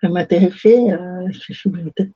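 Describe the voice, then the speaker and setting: Young woman, hesitant and breathy. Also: lowish voice, steady tone, moderate pace, dark tone, dull audio. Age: young adult. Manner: hesitant, many fillers and restarts. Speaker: interview guest. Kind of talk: casual interview answers.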